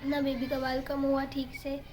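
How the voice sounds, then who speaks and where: A young woman with a high voice that has gone hoarse from a sore throat talks to her followers. She is chatty and relaxed, filming at home. Faint ambient noise sits under her voice. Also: normal speed. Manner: chatty, talks straight to viewers. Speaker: family vlogger. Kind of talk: casual home vlog to camera.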